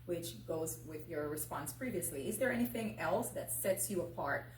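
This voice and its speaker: Echoey, lower-voiced woman hosting a talk show. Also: expressive, normal speed, a little hiss or hum. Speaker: talk show host interviewing a guest. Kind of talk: conversational live talk show interview. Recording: echoey, distant mic with faint music.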